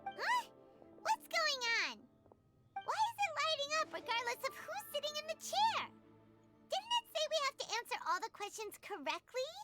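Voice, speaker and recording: A woman voicing an excitable, easily startled small girl companion in a video game, with music underneath. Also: very high-pitched, moderate pace, exaggerated voice. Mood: startled, excited. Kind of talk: animated video game acting.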